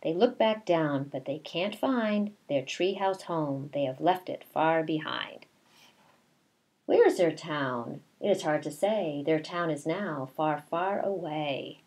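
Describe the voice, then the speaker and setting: Low-pitched woman reading a picture book. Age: middle-aged. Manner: deliberate, expressive teacherly reading. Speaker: kindergarten teacher reading story time. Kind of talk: read-aloud picture book with asides to children. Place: small bedroom at home, indoors.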